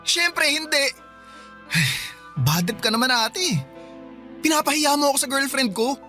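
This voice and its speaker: An actor with a Filipino accent playing a whiny, defensive younger brother of about twenty in a radio drama. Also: male voice, very high-pitched, animated, unhurried, bright, forceful tone, soft background music, full character voice. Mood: defensive, upset. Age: young adult. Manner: whining, petulant.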